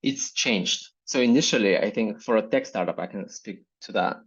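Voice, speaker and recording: A man from Bulgaria with a Bulgarian accent, a startup founder speaking in a measured, reflective way as an interview guest. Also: fairly low pitch, husky, lo-fi sound. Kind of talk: business interview.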